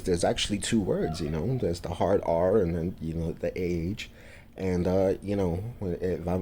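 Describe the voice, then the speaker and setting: Deep new guy rambling to camera, stops and restarts a lot, mellow low voice. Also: husky. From New York, USA. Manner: halting, many fillers and restarts. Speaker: vlogger. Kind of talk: casual monologue to camera.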